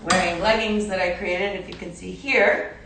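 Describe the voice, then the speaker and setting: A woman from Belgium in her forties chatting casually on a livestream as an artist, with a halting manner and trailing restarts. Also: fairly low pitch, steady tone, gravelly, a bit bright, slight background noise. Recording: echoey room, distant mic, music behind.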